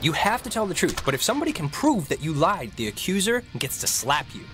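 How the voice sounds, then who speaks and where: A man's voice: fairly high pitch, big pitch swings, fast talker, fairly bright tone, faint music behind. A young man with an American accent playing an eager, chatty, awkward teenage boy in a naturalistic video game performance. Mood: coaxing. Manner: rambling, upbeat, insistent.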